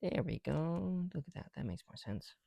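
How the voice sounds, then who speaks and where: A man's voice: fairly high pitch, expressive, moderate pace, creaky, mellow, no echo. A man making a casual ASMR video, chatting in a self-deprecating way with small asides as they go. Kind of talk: ASMR, casual chat while building bricks. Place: on the bedroom floor at night.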